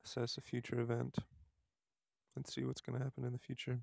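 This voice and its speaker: A man leading a guided meditation outdoors, speaking softly and haltingly, with unhurried instructions and long pauses. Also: mid-range voice, completely flat delivery, vocal fry, no echo, clean studio sound.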